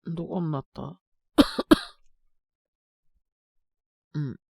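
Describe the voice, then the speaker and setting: A man playing a sick, stubborn but shy teenage boy in an intimate Japanese audio drama, speaking in a halting, casual, congested mumble. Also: fairly high pitch, steady tone, gravelly, breathy edge, no room sound, clean studio sound, exaggerated voice. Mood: startled, grumbling. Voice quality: congested cold-sick voice with coughs.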